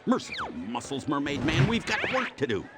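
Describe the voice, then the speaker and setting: A man's voice: on the high side, huge pitch swings, brisk. A man voicing an earnest, eager superhero sidekick in a cartoon game, dramatic and emphatic, over music. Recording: loud music behind the voice.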